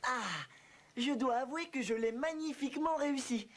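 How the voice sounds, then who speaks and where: Squeaky, smug little pig, voiced by a woman. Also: normal speed, natural voice. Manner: boastful, dramatic. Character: smug little pig, childlike, easily alarmed. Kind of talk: cartoon dub acting.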